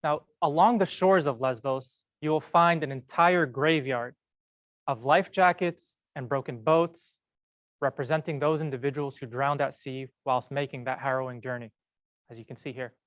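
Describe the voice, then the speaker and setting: A young man giving a prepared, storytelling talk at a medical school event, measured and earnest with slow emphatic pauses. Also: medium pitch, a bit bright, dry recording. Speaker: medical student speaker.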